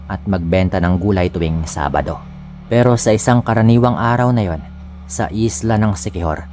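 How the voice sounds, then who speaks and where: Deep-voiced Filipino man narrating scary stories. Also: darkish tone, slight background noise. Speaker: horror story narrator. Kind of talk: first-person scripted scary-story narration. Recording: music bed under the voice. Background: background music.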